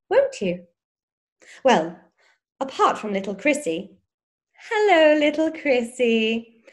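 High, swooping, prim children's TV schoolmistress played by an actress. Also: female voice, exaggerated voice. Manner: sing-song, exaggeratedly jolly and condescending. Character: prim, gushing, sinister children's TV schoolteacher woman. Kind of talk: parody children's show presenting.

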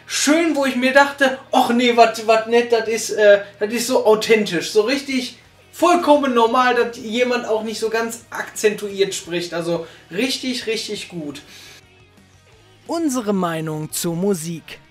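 A young man chatting casually about a review, colloquial and quick to agree. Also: high voice, soft background music. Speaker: podcast reviewer. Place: small living room, on a sofa. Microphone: far camera. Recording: echoey room from far off.

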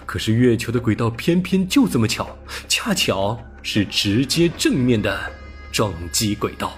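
A man's voice: mid-range voice, very animated, a little slow. A man reading a science fiction audiobook as narrator, in a scripted, dramatic storytelling style. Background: music.

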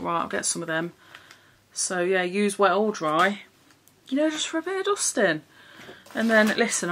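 A woman's voice: lowish voice, sharp tone, a little hiss or hum. Chatty frank woman vlogging at home. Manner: chatty, frank, swears casually. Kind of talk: casual haul chat to camera. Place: small bedroom indoors.

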